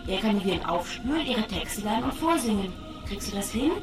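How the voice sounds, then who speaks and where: A woman's voice: mid-range voice, expressive, a little fast, music in the background, natural voice. An actress playing a brash, commanding female cyber agent in a radio drama, with blunt delivery. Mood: brisk, commanding. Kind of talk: German radio drama acting.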